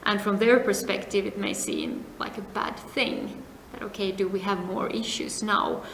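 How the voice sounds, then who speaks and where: A middle-aged woman from Finland answering interview questions, speaking in a measured way with many pauses and phrase ends that fade to a near whisper. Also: medium pitch, wildly swooping pitch, a bit bright. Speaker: interviewee, compliance advisor. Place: seminar venue. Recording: echoey room, faint noise.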